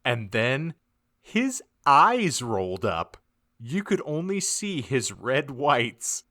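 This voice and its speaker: A man giving a mock-dramatic, theatrical narration of a comedic audiobook, with deliberate emphasis. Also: medium pitch, big pitch swings, pushed and bright. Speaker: podcast narrator.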